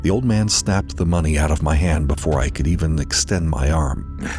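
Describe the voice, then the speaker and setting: A man's voice: rumbling low, steady tone, normal speed. A man narrating a dramatized first-person horror story, with music behind him.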